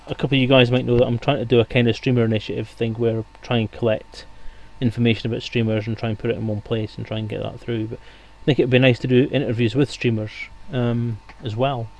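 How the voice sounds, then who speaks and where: A man's voice: mid-range voice, level tone, fast talker, few pauses, darkish tone. A man hosting a livestream in casual, chatty, friendly banter with guests. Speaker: stream host. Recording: clear background noise.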